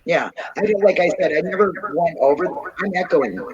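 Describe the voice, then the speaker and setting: A woman's voice: on the low side, expressive, moderate pace, darkish tone, husky, dull audio. A woman from Minnesota with a Minnesotan accent, chatting casually as a livestream guest and laughing often. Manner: laughs often, jokey and folksy. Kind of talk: casual chat.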